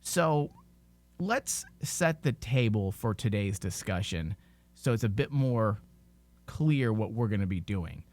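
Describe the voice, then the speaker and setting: A man's voice: fairly low pitch, expressive, takes it slowly, darkish tone. A man hosting a podcast, talking informally and giving his opinions in a casual discussion. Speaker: podcast host.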